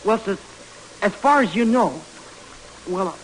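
An actor playing a timid, hesitant young camp cook in a 1940s radio drama. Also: male voice, high voice, lively intonation, rough recording. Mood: nervous, deferential. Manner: hesitant, halting. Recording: faintly hissy old radio broadcast.

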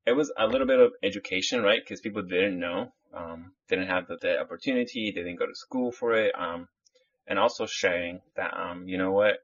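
A man from Chile chats on a podcast in a very low, husky voice, rambling through restarts and fillers. The audio is muffled and lo-fi, as if from a modest setup. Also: a bit bright. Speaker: podcast guest. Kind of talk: casual podcast chat.

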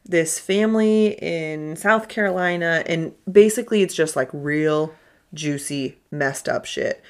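A woman in her thirties co-hosting a casual podcast, her voice low and gravelly. She chats loosely, swears freely and often leaves sentences unfinished, with a relaxed, conversational delivery. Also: a little slow. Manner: chatty, swears casually, trails off mid-sentence. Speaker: podcast co-host. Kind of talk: casual chat.

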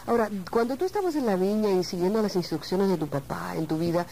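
A woman's voice: medium pitch, lively intonation, fast, vocal fry, mellow, poor audio. A woman hosting a conversational interview, chatty and complimentary, prompting her guest with questions. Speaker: interviewer and host.

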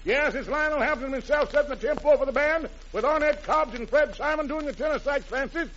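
A man with a very high, bright voice delivers playful jive patter as an old-time radio host. He sounds like a mock-pompous showman, on a dull vintage recording with an audience. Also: fairly quick, slight background noise.

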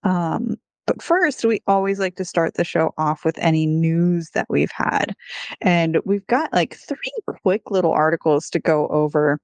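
Female podcast host. Laid-back, conversational, voice sits a bit low. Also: measured pace, no echo. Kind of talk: casual podcast chat with some scripted intro.

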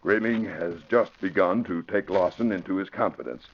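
A man's voice: sits low, moderate pace, slight background noise, natural voice. A man playing an earnest, authoritative American police commissioner in a classic radio drama. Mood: grave, urgent. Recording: muffled, lo-fi vintage radio recording.

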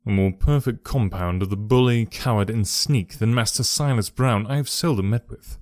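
A man reading aloud, voicing a calm, sharp-minded male detective who speaks smoothly and with quiet command. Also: lowish voice, moderate pace, no room sound, studio quality, lightly acted.

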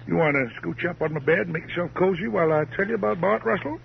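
Sly folksy western uncle, highish creaky drawl that swoops around, old radio crime show, muffled and hissy. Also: male voice, quick pace. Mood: sly, relishing. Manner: drawling, cozy, repetitive, familiar, mock-sweet. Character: folksy sly uncle from out West, lounging in bed. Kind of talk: 1950s radio crime drama acting.

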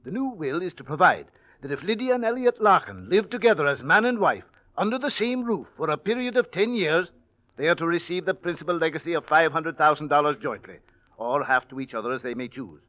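A man's voice: a little high, animated, close to an everyday voice. Man playing a stern old uncle, vintage radio drama. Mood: firm, businesslike. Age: older. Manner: imperious, deliberate. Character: stern, wealthy, controlling old uncle, coolly vindictive. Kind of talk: 1940s radio acting. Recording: dull vintage radio recording.

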